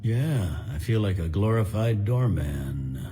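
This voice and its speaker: Game role: jaded male security officer, low and mellow, blunt, grumbling, music underneath. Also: animated, takes it slowly. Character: gruff jaded male security officer, weary of politics and press. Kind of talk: dramatic video game dialogue.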